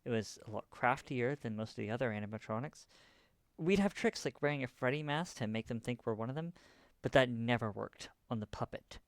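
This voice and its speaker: An actor plays an anxious, helpful friend in an audio drama. The voice has plenty of fry and sounds casual and concerned, in a very dry, close recording. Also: mid-range voice, lively intonation, fairly quick, no echo, natural voice. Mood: earnest, puzzled. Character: Sam, anxious, helpful acquaintance checking in.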